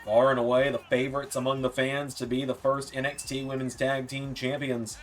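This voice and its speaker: Man calling a wrestling match, slightly hoarse. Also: medium pitch, faint music behind. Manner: rapid play-by-play, rising excitement on near-falls. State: losing his voice, softer than usual. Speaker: wrestling game commentator. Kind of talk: play-by-play commentary.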